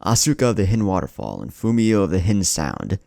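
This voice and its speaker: A man's voice: low-pitched, big pitch swings, brisk, vocal fry, warm tone, dry recording, studio quality, heavily acted. A man voicing a stern old samurai who formally announces the rules and bouts of a tournament in a story.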